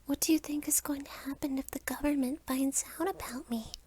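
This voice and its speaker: A woman's voice: high voice, steady tone, a little fast, dark tone, pitched above the performer's own voice, close to an everyday voice. A woman voicing a bratty young succubus vampire girl, teasing and menacing, in an anime-style roleplay. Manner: taunting, flippant.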